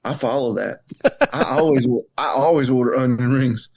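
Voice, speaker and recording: A man's voice: mid-range voice, fairly even intonation, moderate pace, a little mellow. A man chatting casually as a podcast co-host, blunt and joking, with plenty of swearing. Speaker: wrestling coach. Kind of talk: casual banter and storytelling.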